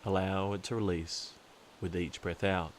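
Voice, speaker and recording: Male meditation guide, low register, calm and deliberate with long pauses, faint hiss on the recording.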